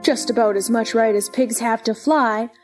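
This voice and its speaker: Woman playing a high-voiced, moralizing Duchess. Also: steady tone, normal speed, a little mellow, faint music behind, natural voice. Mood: smugly agreeable. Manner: sententious, agreeable, wordy. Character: fawning, moralizing, babbling Duchess. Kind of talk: audio play acting.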